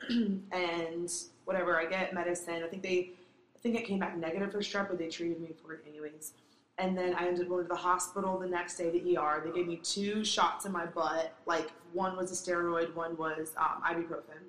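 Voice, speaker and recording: A raspy young woman talks loosely and candidly, swearing as she tells a story. Her voice sits at an ordinary pitch, and the room around her rings with echo. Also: level tone, moderate pace, fairly bright tone, a little hiss or hum. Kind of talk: casual chat. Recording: echoey room.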